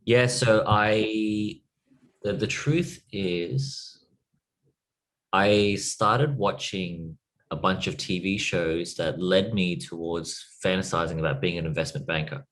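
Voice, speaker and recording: A man in his thirties from Australia, a business coach giving relaxed interview answers with lots of "like" and "you know". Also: sits low, slow pace. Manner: relaxed, uses filler words.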